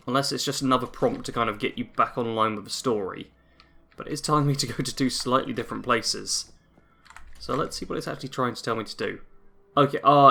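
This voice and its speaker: A man's voice: medium pitch, sharp tone. A man giving casual, chatty commentary as he plays a game, saying he is getting a cold. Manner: chatty, self-narrating. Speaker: gaming YouTuber. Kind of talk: gameplay commentary.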